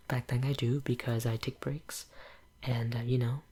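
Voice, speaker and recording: A young woman whose first language is Cantonese, chatting in a soft ASMR whisper at home, rambling with lots of fillers. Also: extremely low pitch, little pitch movement, unhurried, slightly rough. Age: young adult. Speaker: ASMR channel creator. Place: home room with green screen.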